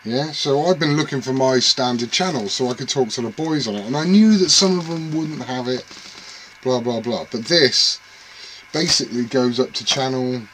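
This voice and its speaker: A man's voice: medium pitch, normal speed, fairly bright tone, slight background noise, low-quality recording. Rambling man chatting about radios. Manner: rambling, self-deprecating, trails off with "et cetera". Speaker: CB radio hobbyist vlogger. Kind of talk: casual rambling chat to camera. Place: home radio room.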